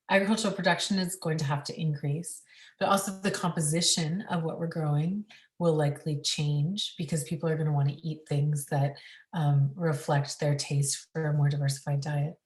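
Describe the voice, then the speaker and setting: A woman speaking on a panel about food systems, thoughtful and full of pauses and ums. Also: low voice, roomy sound, far from the mic. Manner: thoughtful, many ums and restarts. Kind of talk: webinar panel talk.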